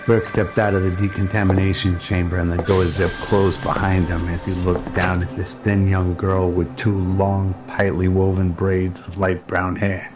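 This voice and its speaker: A man's voice: deep voice, little pitch movement, normal speed, few pauses. A man reading scripted narration for a sci-fi radio drama. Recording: loud music behind the voice.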